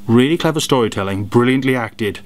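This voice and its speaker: A man giving an animated, gushing review of audio dramas and radio plays, throwing in jokes as he goes. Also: mid-range voice, brisk. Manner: animated, jokey, gushing with praise. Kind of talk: enthusiastic product review.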